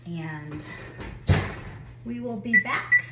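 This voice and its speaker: A woman's voice: on the high side, very animated, drawn-out words, very noisy. Chatty congested woman baking at home. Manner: chatty, self-deprecating, reassuring. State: congested, sniffling. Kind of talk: casual baking tutorial talk. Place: home kitchen.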